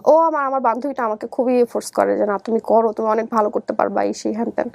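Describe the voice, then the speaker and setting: A young Bangladeshi woman with a high voice, recorded close and dry. She tells her life story conversationally, slipping into quoted dialogue as she goes. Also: fairly even intonation, quick pace, gravelly, darkish tone, no echo. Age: young adult. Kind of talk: personal storytelling in an interview.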